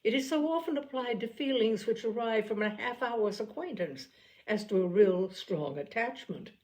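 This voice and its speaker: A sensible, kindly middle-aged aunt in a storybook reading, calm and reasonable, giving gentle counsel, as a woman reader voices her. Her tone is even and measured. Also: fairly high pitch, natural voice, rough recording. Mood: reasoning. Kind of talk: audiobook character voice.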